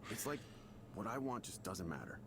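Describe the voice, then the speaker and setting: A man's voice: lowish voice, big pitch swings, moderate pace, noisy background. A man playing a defensive, self-absorbed, short-tempered expectant father in a video game, stammering indignantly as he confides. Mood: self-pitying. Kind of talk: video game voice acting, confessional monologue. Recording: music behind the voice.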